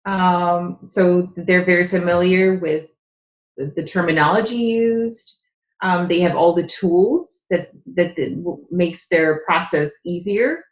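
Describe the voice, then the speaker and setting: Low-voiced woman on an echoey line. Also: slow, dull audio. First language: Czech. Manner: earnest, explanatory, with frequent fillers. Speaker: podcast guest. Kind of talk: conversational interview.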